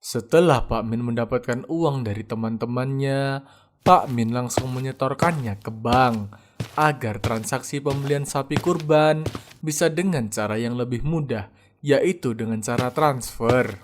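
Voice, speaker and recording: A male narrator tells the story in a plain, steady voice at a middle pitch. A clear layer of noise sits under his voice in the recording. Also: unhurried. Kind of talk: scripted narration.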